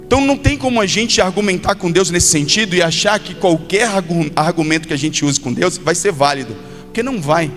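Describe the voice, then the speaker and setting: A man's voice: fairly high pitch, a bit bright. A Brazilian man preaching a sermon as a pastor, addressing listeners directly and exhorting them with repetition and acted-out quotes. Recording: long hall reverb, music behind. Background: music.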